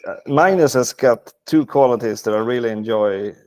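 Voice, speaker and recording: A man's voice: mid-range voice, moderate pace, darkish tone. A man from a band talking casually in an interview, with lots of filler words and swearing. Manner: filler-heavy, profane. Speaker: band member.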